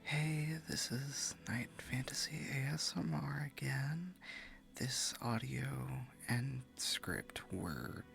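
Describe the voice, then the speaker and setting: A man speaking softly and informally to his listeners as an ASMR creator, a little hesitant. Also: mid-range voice, expressive, takes it slowly, creaky, faint music behind. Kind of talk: soft-spoken casual outro to listeners.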